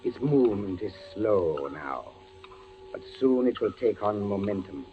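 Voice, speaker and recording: A slow, menacing inquisitor friar. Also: male voice, lowish voice, very animated, faint music behind. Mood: gloating menace. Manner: slow, deliberate, ominous, formal. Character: cold, menacing inquisitor friar, calmly cruel tormentor. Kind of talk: 1940s radio acting. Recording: very muffled, noisy old radio recording.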